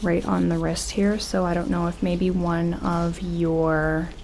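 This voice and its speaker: Soft whispery asmr lady playing a gentle doctor, real slow, kinda gravelly fry. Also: lowish voice, level tone, a little hiss or hum. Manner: soft-spoken, slipping into whispers; gentle, hesitant, reassuring clinician talk. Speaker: ASMR performer playing a doctor examining an alien. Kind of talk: ASMR medical roleplay.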